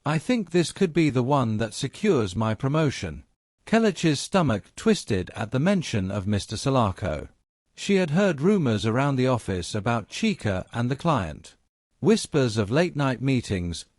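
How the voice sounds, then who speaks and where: A man's voice: mid-range voice, no room sound, clean studio sound. A man reading a scripted dramatic story as a narrator.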